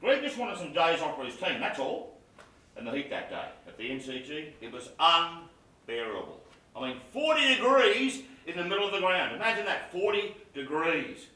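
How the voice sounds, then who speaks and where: An actor playing a boisterous, nostalgic Australian brother with a coarse, slangy, rambling way of talking. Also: male voice, fairly high pitch, big pitch swings, normal speed, pushed and bright. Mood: earnest, defensive. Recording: echoey room, distant mic, noisy.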